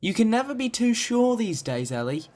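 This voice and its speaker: A young man playing a chatty, opinionated college student in an amateur audio drama, talking in an eager, animated way. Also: very high-pitched, normal speed. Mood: dismissive.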